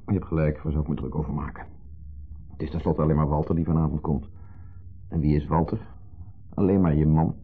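Jealous brooding husband, husky deep voice, hesitant and needling, 1970s radio play. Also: male voice, fairly even intonation, brisk, long pauses, heavily acted. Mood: bitter, needling. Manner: hesitant, fretful, questioning. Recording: muffled narrow-band 1970s radio recording.

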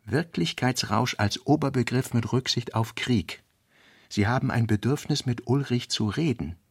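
A man narrating literary notes for a radio play in a deliberate, fragmentary way, weighting key words. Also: mid-range voice, animated, creaky, slightly rough, no echo, studio quality. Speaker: narrator reading a novelist's working notes. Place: dry studio.

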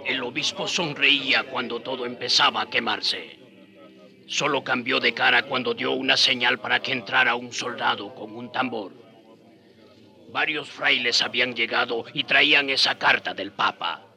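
A skeptical native man, bright voice. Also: mid-range voice, normal speed. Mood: narrating. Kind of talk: radio drama acting and storytelling.

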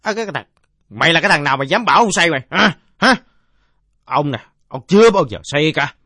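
A man's voice: fairly high pitch, animated, quick pace, bright, forceful tone, husky, dry recording, clean studio sound, voice pushed up, exaggerated voice. A man voicing a belligerent, drunken village father in a story, blustering and coarse-mouthed.

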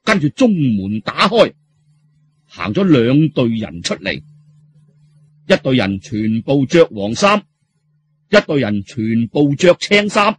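Animated husky Cantonese male storyteller. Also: mid-range voice, slow, pauses often. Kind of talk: dramatic colloquial audiobook storytelling.

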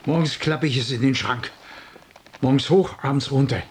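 An actor playing a lonely, bitter tenant in a radio drama, complaining in an agitated, obsessive way. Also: male voice, medium pitch, moderate pace, slight background noise, natural voice. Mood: brooding, defensive.

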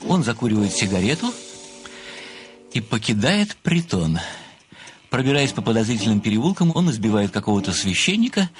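An actor playing an obsessive, polite male admirer turned amateur detective in an audio play, speaking deliberately and rhetorically. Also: lowish voice, very animated. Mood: storytelling. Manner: deliberate, rhetorical, deferential yet insistent.

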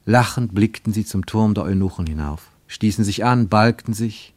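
Deep dry-sounding guy narrating a radio drama, slow deliberate delivery, studio mic. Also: darkish tone, no echo. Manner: measured, deliberate storytelling with slowed emphatic phrases.

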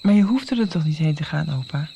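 An actor playing a curious grandchild who prods with questions in a radio play. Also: male voice, fairly high pitch, expressive, fairly quick, creaky, warm tone, a little hiss or hum. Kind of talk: radio drama acting.

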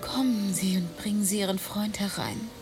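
An actress playing a frail, exhausted young lady in a German radio play, breathy, languid and gracious. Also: mid-range voice, normal speed, music in the background, natural voice. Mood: weakly gracious. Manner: languid, polite. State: weak, breathy.

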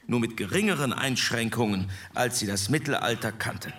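Male actor as measured, insistent legal delegate, mid-range voice, formal debate delivery, off-mic placement. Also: medium pitch, animated, a bit bright, far from the mic, slight background noise, natural voice. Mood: earnest. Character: earnest, reasoning jurist in a constitutional debate. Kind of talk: re-enacted committee debate.

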